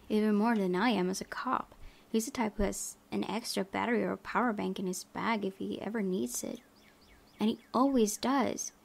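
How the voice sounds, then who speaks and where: A woman's voice: mid-range voice, gravelly. A woman hosting a gaming video, reading visual novel text aloud with casual asides.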